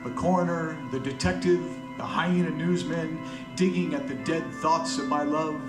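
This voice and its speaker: A man's voice: on the high side, fairly even intonation, close to an everyday voice. A man playing a bitter, brooding murdered narrator, delivering a florid poetic noir monologue for radio theater. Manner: slow, florid, deliberate. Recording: roomy stage sound with guitar behind.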